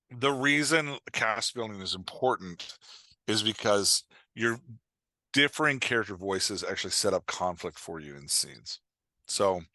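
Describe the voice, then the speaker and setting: A man talking as a coach and podcast guest, explaining things in an informal, animated way with acted-out example remarks. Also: on the low side, no room sound. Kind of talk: casual explanatory podcast interview.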